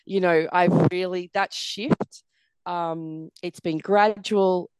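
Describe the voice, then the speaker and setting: Middle-aged woman guest, croaky and tired, earnest and reflective, lowish voice. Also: steady tone, slow pace, a little hiss or hum, low-quality recording. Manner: earnest, many "you know" fillers. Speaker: podcast guest. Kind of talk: reflective interview conversation.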